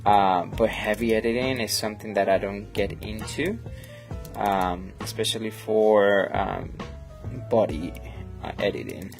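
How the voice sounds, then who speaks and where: Colombian guy on a live stream, slow and rambly, gravelly low voice, some music in back. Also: fairly even intonation. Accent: Colombian Spanish. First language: Spanish. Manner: rambling and friendly, many fillers, reads chat aloud. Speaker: photographer hosting a live editing stream. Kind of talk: casual live-stream chat while editing.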